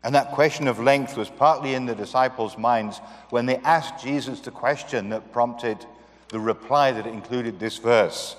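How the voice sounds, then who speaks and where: A man's voice: medium pitch, normal speed. A man from the United Kingdom with a British accent, preaching a sermon to a congregation and asking earnest rhetorical questions. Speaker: guest preacher. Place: church sanctuary. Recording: long reverb of a church hall.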